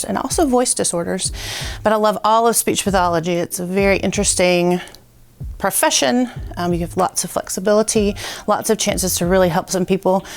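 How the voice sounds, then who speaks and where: A woman introducing herself as a speech pathology professor, friendly and casual with some fillers. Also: mid-range voice. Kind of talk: scripted self-introduction.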